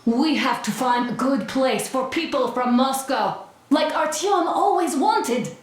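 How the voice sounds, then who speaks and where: A woman's voice: high voice, lively intonation, measured pace, heavy reverb. A woman acting a defiant, idealistic young soldier in a video game, direct and challenging. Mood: defiant, hopeful.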